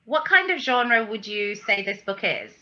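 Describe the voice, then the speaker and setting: A woman's voice: mid-range voice, animated, a little slow, bright, forceful tone, dull audio. A woman interviewing an author, asking her questions with many hesitant fillers. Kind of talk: casual interview.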